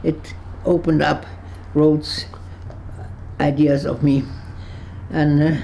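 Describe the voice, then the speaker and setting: A woman over one hundred, from Berlin, recalling her past in an interview, halting and searching for words with frequent restarts, and laughing easily with dry humor. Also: deep voice, little pitch movement, vocal fry, warm tone, a little hiss or hum. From Berlin, Germany. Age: 100s. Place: her home on a kibbutz.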